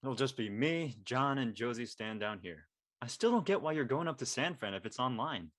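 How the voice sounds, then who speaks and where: A man's voice: mid-range voice, lively intonation, normal speed, a bit bright, close to an everyday voice. A man playing a blunt, teasing college-age young man in casual scripted dialogue. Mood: blunt, friendly.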